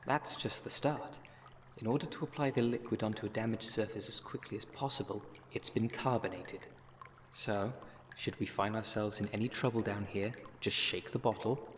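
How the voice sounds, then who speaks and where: A man acting a brisk, wry city witch in an ASMR roleplay audio drama, talking in a dry, instructive way. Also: lowish voice, a little hiss or hum, close to an everyday voice. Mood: careful, instructive.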